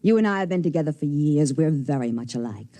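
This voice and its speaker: A woman's voice: deep voice, animated, fast, dark tone. An American actress playing a weary, restless middle-aged woman in a 1950s radio drama. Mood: earnest pleading. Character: weary, restless woman longing for a clean start.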